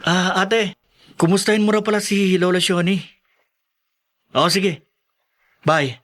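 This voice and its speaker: Eager, carefree college-age lad from the provinces, high voice, animated radio drama delivery male actor. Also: unhurried. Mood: easygoing. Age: young adult.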